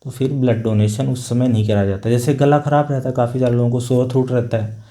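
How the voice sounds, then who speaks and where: A middle-aged male doctor speaks with a mellow, warm voice at an ordinary pitch. He explains things calmly and patiently, in a plain, unhurried manner with the odd thinking pause. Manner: calm, patient, plain-spoken with filler pauses. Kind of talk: explanatory health talk.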